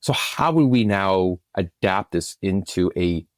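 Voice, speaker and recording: Low-voiced man explaining in an interview. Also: expressive, a little slow, no room sound, clean studio sound. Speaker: producer, interview guest.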